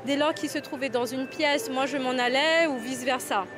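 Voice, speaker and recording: A woman from Paris, reflecting on her life in a measured, searching way. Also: sits high, steady tone, over music. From Paris, France. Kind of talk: personal reflective interview.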